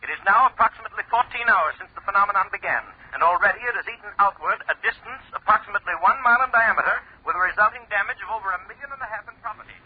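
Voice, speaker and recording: An urgent disaster newsman in an old radio drama. He declaims rapidly in a high voice, on a dull vintage recording with faint noise. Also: husky. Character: urgent male news announcer proclaiming catastrophe. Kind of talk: 1940s radio acting. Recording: dull, lightly noisy 1940s radio recording.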